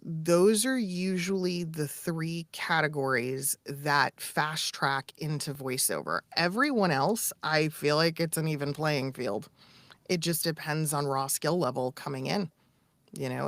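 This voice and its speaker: A woman's voice: sits low, no room sound. A woman coaching in a conversational live discussion, explaining points and often checking in with "right?". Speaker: voiceover coach and co-host.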